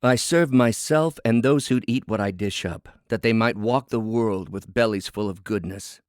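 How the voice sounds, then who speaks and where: A man's voice: on the low side, normal speed, no echo, studio quality, pitched above the performer's own voice, natural voice. A man voicing a proud, defiant working woman, a cook in a storybook fable, speechifying with indignant emphasis. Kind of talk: dramatic storybook fable reading.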